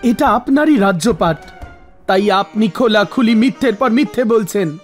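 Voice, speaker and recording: An actor voicing a stubborn old mortal man in an animated mythological story, arguing insistently with the gods. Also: medium pitch, normal speed, faint music behind, natural voice. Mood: accusing. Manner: argumentative, accusing, insistent.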